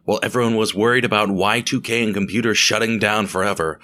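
A man reading a scripted story as narrator, in a measured, dramatic way with deliberate pauses. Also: lowish voice, fairly even intonation, sharp tone. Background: music.

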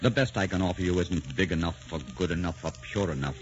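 An actor playing a tormented, brooding poet in love, anguished and halting in a melodramatic 1940s radio style. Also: male voice, sits low, lightly acted. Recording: muffled 1940s radio recording with music.

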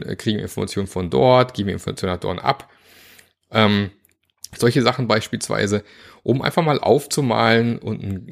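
Man with a gravelly, croaky voice. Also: fairly low pitch. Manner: chatty, many fillers and asides. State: has a cold, sore throat. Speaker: agile coach teaching teams. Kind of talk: informal spoken lesson.

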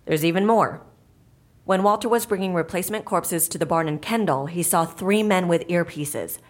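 Actress as commanding female boss of a secret agency. Lowish register, terse, cool-headed audio drama delivery. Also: normal speed, close to an everyday voice. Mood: grave, commanding. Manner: terse, authoritative.

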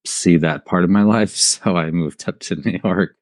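A man's voice: deep voice, moderate pace, a little mellow, no room sound. A middle-aged man who grew up in southern Germany, talking informally as an artist in an interview and telling stories with bits of quoted dialogue. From raised in southern Germany. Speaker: installation artist, podcast guest. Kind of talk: casual conversational storytelling.

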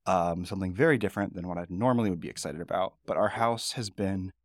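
A man in his thirties chats casually on a podcast, rambling a little with plenty of fillers. His voice sits low and sounds groggy from the early morning. The sound is dry and close. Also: quick pace, no echo. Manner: relaxed, rambling, chatty with fillers. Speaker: podcast co-host. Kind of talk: casual chat.

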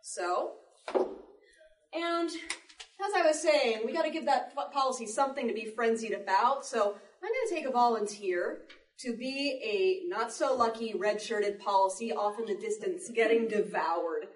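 Woman explaining stuff to an audience, chatty, highish voice, echoey room, bit far from mic. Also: measured pace. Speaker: panel presenter, sound effects artist. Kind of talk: live demonstration to an audience, informal. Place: live panel in a public library room. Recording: echoey room, distant mic, background noise.

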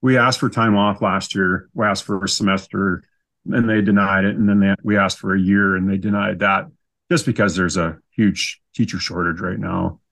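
A man's voice: deep voice, steady tone, normal speed, creaky, a bit bright. A man with a Canadian accent telling stories casually as an interview guest, in an easygoing, self-deprecating way. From Canada, southern Saskatchewan. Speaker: podcast guest.

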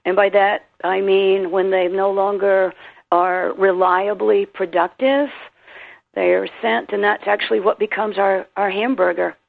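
A woman's voice: mid-range voice, steady tone, a little slow. A woman with a South Carolina and Tennessee accent, an author guest telling her story animatedly in an interview. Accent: Southern American, South Carolina and Tennessee. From American South. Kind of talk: interview on a phone line. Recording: tinny phone line with gravelly fry.

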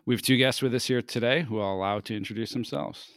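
A middle-aged man, an analyst coach, hosting an interview in a measured, steady, professional way. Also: mid-range voice, gravelly, no echo, studio quality.

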